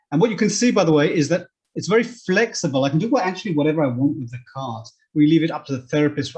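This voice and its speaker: A man's voice: a little high, a little mellow. A man giving an informal, step-by-step screen-share demonstration as a presenter, explaining with stressed key words. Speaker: presenter demonstrating online therapy card software. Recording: dull, lo-fi microphone sound.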